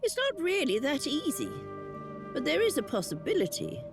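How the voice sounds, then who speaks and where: A woman's voice: sits high, huge pitch swings, gravelly, heavily acted. A woman voicing the lonely, wry ghost of a witch burned at the stake, in a game cutscene. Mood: wistful. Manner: slow, drawling, sly asides. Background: game music.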